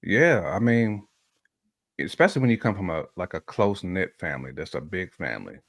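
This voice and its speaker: A man's voice: deep voice, big pitch swings, brisk. An American man chatting casually on a relationship podcast, using slang and repeating phrases for emphasis.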